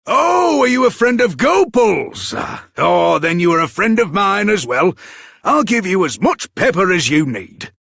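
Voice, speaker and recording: Brusque generous merchant, high, husky, wildly animated intonation, video game character. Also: male voice, unhurried, no echo, clean studio sound, exaggerated voice. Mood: friendly, eager. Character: brusque but generous male pepper trader.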